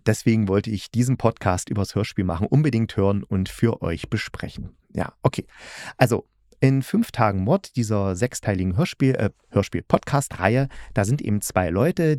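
A man with a fairly low voice presents a solo review podcast. He talks in a casual, chatty manner, often stepping out of his sentences for little asides. The sound is dry, with hardly any room to it. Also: a little mellow, dry recording. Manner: chatty, self-interrupting asides. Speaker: podcast host reviewing audio dramas.

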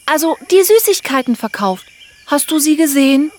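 Woman playing a plucky little girl, high voice, swooping intonation, earnest, fairy-tale audio play. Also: lively intonation, moderate pace, darkish tone. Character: brave, determined young girl seeking her brother.

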